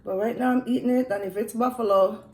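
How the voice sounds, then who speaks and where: A woman's voice: fairly high pitch, steady tone, a little mellow, roomy sound, poor audio. A woman with a mixed Jamaican and Quebec French accent chatting casually while eating on camera. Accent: Jamaican and Quebec French mix. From Jamaica, raised in Montreal. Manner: slow, chatty, pauses to eat. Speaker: mukbang vlogger. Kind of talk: casual eating vlog chat.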